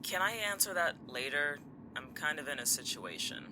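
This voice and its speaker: A man's voice: on the high side, expressive, moderate pace, bright, forceful tone. An actor playing an evasive, sardonic man with a dry, insistent manner in an audio drama. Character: evasive, sardonic man hiding a grim secret. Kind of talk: naturalistic audio drama acting. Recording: clear ambient noise.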